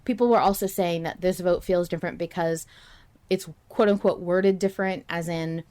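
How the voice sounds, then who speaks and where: Woman casually talking things through, lowish voice. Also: fairly even intonation, moderate pace, warm tone. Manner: hedging, thinking aloud. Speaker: podcast host. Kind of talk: casual discussion of a fiction podcast.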